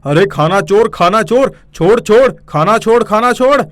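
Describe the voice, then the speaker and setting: A man voicing a flustered, pleading village cook in an animated children's story, anxiously repeating his words. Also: sits high, normal speed. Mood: alarmed.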